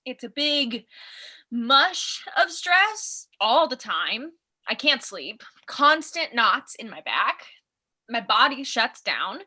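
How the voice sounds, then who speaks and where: An actress playing an overwhelmed, anxious young woman student in a play reading, speaking in a halting, fretful and wry way. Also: a little high, sharp tone, full character voice. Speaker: actor in a staged play reading. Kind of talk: naturalistic dramatic dialogue, read online.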